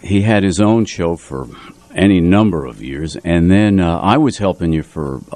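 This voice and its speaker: Deep-voiced, animated radio co-host. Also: male voice, moderate pace, dry recording. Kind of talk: casual live radio reminiscing. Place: radio studio.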